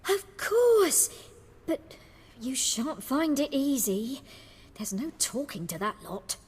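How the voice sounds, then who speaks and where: An actress playing a wary, weary street performer in a fantasy video game, with a husky, breathy voice. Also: female voice, high voice, big pitch swings, takes it slowly, in a large hall, full character voice. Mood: worried.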